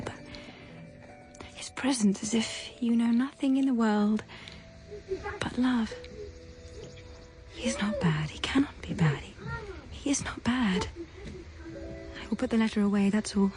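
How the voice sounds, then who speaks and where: High-voiced, anxious young governess. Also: female voice, expressive, measured pace, pauses often, over music. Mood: resolute. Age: young adult. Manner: breathy confiding narration that often drops to whispers. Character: young governess, earnest, anxious, dreamily enraptured by her charges. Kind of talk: period gothic audio drama acting.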